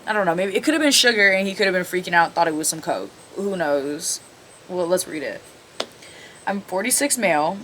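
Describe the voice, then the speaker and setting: A woman's voice: on the low side, a bit bright. A woman hosting a casual video, reading online stories aloud and cutting in with blunt, sarcastic, sweary commentary. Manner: blunt, profane, sarcastic interjections. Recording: clearly audible background noise.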